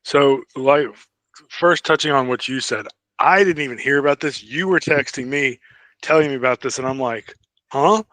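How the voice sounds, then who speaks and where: A man's voice: medium pitch, normal speed, fairly bright tone, dry recording. Male podcast co-host, mid-range voice, dry recording, casual yet exacting as he lays out details. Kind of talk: casual fan discussion.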